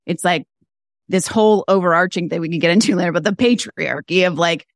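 A woman's voice: fairly low pitch, fairly quick, no echo. Emphatic woman, dry close sound. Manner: animated, emphatic, builds points through repeated phrases. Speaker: guest, fashion stylist and business mentor. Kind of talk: podcast interview conversation.

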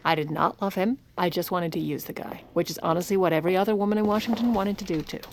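Actress as a wry, low-voiced First Lady. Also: fast talker, a little hiss or hum, close to an everyday voice. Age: 40s. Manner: wry, sardonic. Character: sharp-tongued, scheming middle-aged First Lady. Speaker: lead actor. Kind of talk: audio drama acting with wry asides.

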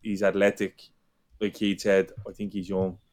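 Male panelist with a slightly low voice, relaxed and thoughtful. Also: moderate pace. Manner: relaxed, thinks aloud with repeated "I think". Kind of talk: casual football chat.

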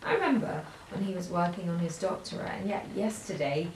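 A woman's voice: lowish voice, very animated, fairly quick. A woman playing a despairing, worn-out character in an audio drama, anguished, with repetitive, breaking phrases. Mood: bitter, low. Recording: echoey, distant room with faint noise.

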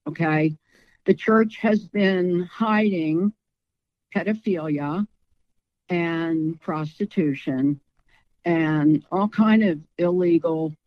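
A woman's voice: lowish voice, dry recording. A woman speaking as an interview guest in a conversational, outspoken and emphatic way, with slightly lo-fi sound.

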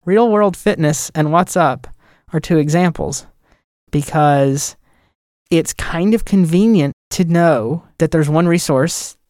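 A man's voice: a little high, slow pace, darkish tone, no room sound, studio quality. A man in casual podcast conversation, talking emphatically and with firm opinions as a producer. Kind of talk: casual chat.

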